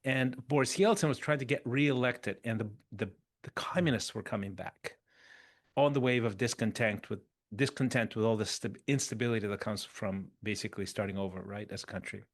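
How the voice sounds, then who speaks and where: A man in his fifties, a podcast guest, telling his story in an animated, conversational way and restarting phrases as he thinks. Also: lowish voice, a little fast. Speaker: interview guest.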